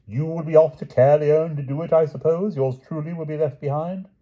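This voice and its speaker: Male reader voicing a wistful foreign knight, stilted formal talk, high voice, dull recording. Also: steady tone, fairly quick, warm tone, close to an everyday voice. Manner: stilted, overly formal phrasing.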